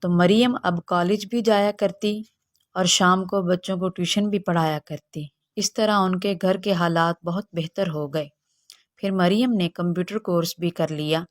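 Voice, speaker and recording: Woman narrating a kids moral story from a script, lowish voice, very dry sound. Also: dry recording.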